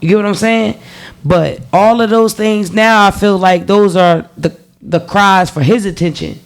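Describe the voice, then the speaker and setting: A man's voice: high-pitched, a little slow. A man, telling his personal story on a podcast in a candid way, repeating phrases and swearing freely. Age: 30s. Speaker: podcast guest.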